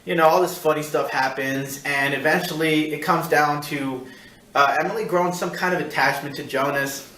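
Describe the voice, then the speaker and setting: A man hosting a casual film review, talking in a rambling, halting way and repeating himself as he searches for words. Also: fairly high pitch, steady tone, bright, forceful tone. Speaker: film review show host. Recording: echoey room, distant mic.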